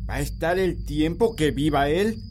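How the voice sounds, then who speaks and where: An actor playing an old male village healer who gives calm, firm instructions. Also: fairly high pitch, moderate pace, big-room sound, slight background noise, natural voice. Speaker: actor in a sound drama.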